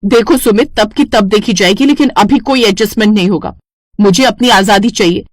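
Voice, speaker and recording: A woman's voice: mid-range voice, moderate pace, no room sound, studio quality, natural voice. A woman voicing a self-assured, two-faced young neighbour wife in a cartoon family story. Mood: angry, demanding.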